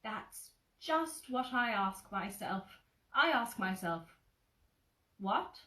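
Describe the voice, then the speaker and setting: A woman voicing a slow-witted, earnest male storybook bear who muses in a deliberate, mysterious way. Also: mid-range voice, animated, sharp tone, reverberant, recorded from a distance, heavily acted. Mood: musing, mysterious.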